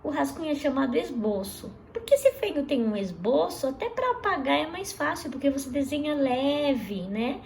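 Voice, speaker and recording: A woman teaching an art lesson to young pupils in a lively, chatty way, often asking them questions and restarting words. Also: sits high, moderate pace, creaky, hardly any pauses, a little hiss or hum. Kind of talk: recorded class lesson for children.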